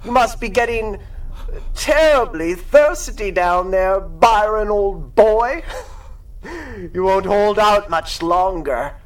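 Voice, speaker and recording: An actor playing a gloating, sadistic supervillain in a pulp radio drama, with mocking, drawn-out theatrical delivery. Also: male voice, high voice, expressive, far from the mic, rough recording. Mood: taunting, gleeful. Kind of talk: radio drama acting in 1930s pulp style.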